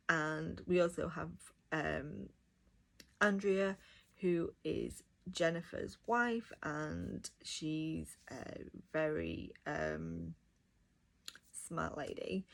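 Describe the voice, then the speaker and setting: A woman's voice: on the low side, gravelly. A woman giving a casual, enthusiastic review, talking directly to viewers. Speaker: audiobook reviewer.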